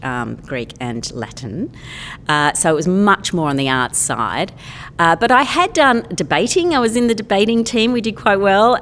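Chatty woman, low voice. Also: in a large hall, a little hiss or hum. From Australia. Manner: chatty, enthusiastic storyteller with many fillers. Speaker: podcast guest, painter and former lawyer. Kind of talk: casual interview chat.

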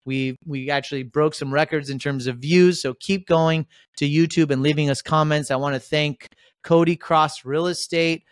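A man hosting a casual podcast, upbeat and rambling, with lots of shout-outs. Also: fairly high pitch, fairly even intonation, normal speed, smooth, dry recording, studio quality. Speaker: podcast host.

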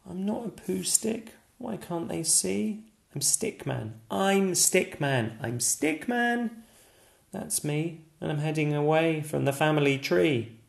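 A man's voice: fairly high pitch, animated, slow pace, gravelly, reverberant, voice pushed up, heavily acted. A man voicing a small, brave stick figure who keeps insisting who he is in a sing-song refrain. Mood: indignant.

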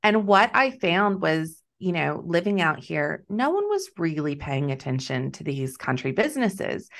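Texan woman in her 30s, guest business coach, low-pitched, upbeat, laughs easily. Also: big pitch swings, a little slow, a bit bright. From Texas. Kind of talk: casual interview chat.

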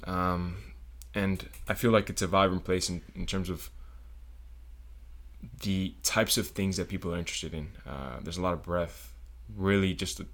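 Very deep-voiced young man, hesitant. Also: fairly even intonation, normal speed, a bit bright. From South Florida. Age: young adult. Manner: thoughtful, hesitant, many ums. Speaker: podcast guest, engineering student. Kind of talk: casual podcast interview.

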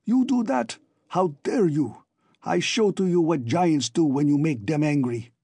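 A man voicing a sinister middle-aged giant who addresses a crowd in loud, slightly broken English. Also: fairly high pitch, animated, a little slow, husky, no echo, heavily acted. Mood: furious.

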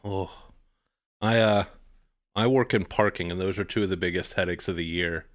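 A talkative man from Texas, hosting a casual podcast chat with wry, quick asides. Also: sits low, steady tone.

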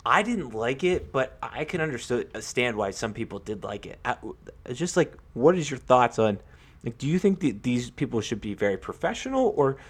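A man co-hosting a casual sports podcast, talking in a halting way with repeated words and lots of "like". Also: mid-range voice, big pitch swings, breathy edge. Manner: halting, repeats himself, peppers sentences with "like".

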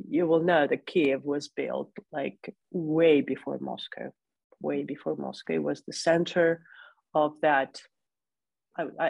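Husky, gravelly woman. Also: deep voice, a little mellow, no room sound, low-quality recording. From Ukraine. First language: Russian. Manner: hesitant, many restarts and fillers. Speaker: guest. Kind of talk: interview conversation.

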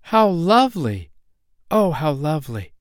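A man voicing an eager, high-pitched little fairy girl. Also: big pitch swings, moderate pace, no room sound, studio quality. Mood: excited, awed. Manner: exclaiming, wide-eyed and earnest. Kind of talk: children's storybook character voice.

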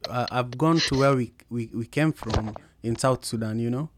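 A man explaining plans informally as an organizer, in a halting way with many "you know"s. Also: mid-range voice, background noise. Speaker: group trip organizer and co-host.

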